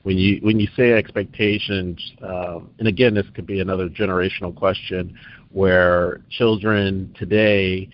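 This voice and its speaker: A man's voice: low voice, steady tone, moderate pace. A man hosting an interview, asking long, hesitant, rambling questions. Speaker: interview host. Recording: dull lo-fi sound.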